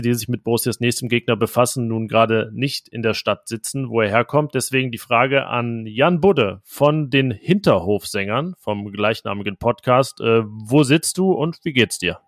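A man's voice: medium pitch, no room sound, clean studio sound. A man hosting a football podcast, moderating in casual chat and putting questions to his guests. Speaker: podcast host.

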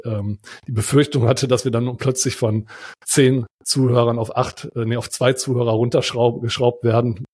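Husky, chatty German man podcasting. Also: mid-range voice, darkish tone. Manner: chatty, rambling with fillers and restarts. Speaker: podcast co-host. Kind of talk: casual chat.

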